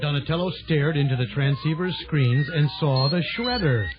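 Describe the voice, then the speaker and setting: High-voiced male narrator over loud music. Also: normal speed. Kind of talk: dramatic scripted narration for children's audio drama. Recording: dull lo-fi 1980s audio drama recording. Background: loud music score.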